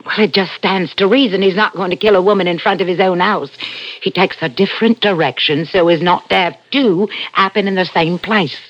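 A dull vintage radio recording of an actress playing a shrewd, sharp-tongued working-class wife in a thriller. Her voice is husky and rough, with a nagging, dramatic delivery and an undercurrent of fear. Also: lowish voice, brisk, lightly acted. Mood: intent, reasoning. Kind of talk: old-time radio thriller acting.

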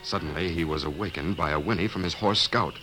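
Deep-voiced male radio drama narrator. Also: quick pace. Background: music.

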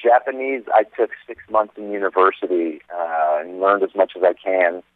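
A man's voice: deep voice, normal speed, no room sound. A man from Lebanon, an actor talking as a guest by phone, upbeat and chatty with lots of fillers. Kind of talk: phone interview. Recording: phone-line audio.

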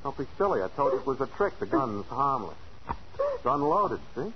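An actor playing a taunting, commanding husband with a cruel streak in a 1940s radio thriller. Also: male voice, mid-range voice, big pitch swings, normal speed, lightly acted. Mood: mocking, sinister. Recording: noisy, dull old radio broadcast.

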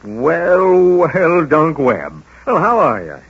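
A man playing a kindly, gently moral small-town family doctor in old-time radio drama, speaking in a folksy, hesitant, musing way. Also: on the high side, wildly swooping pitch, takes it slowly, slight background noise, exaggerated voice. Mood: warm, hesitant. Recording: dull old radio broadcast recording.

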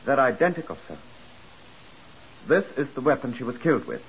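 Earnest young constable, muffled radio. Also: male voice, mid-range voice, big pitch swings, fairly quick. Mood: earnest, reporting. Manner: respectful, careful reporting. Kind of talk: 1950s radio crime drama acting. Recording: muffled, hissy 1950s radio broadcast.

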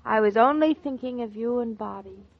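An actress playing a sensitive, earnest young wife in a 1940s radio drama. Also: female voice, a little high, normal speed, creaky. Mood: earnest, confessing. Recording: dull old radio transcription.

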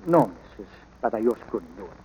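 Humble, folksy ranch hand. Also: male voice, lowish voice, sing-song, warm tone. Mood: earnest, moved. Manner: folksy, halting, plain-spoken. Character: humble, kindly ranch hand, gentle and loyal. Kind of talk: 1940s radio drama acting and narration. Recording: noisy old radio broadcast.

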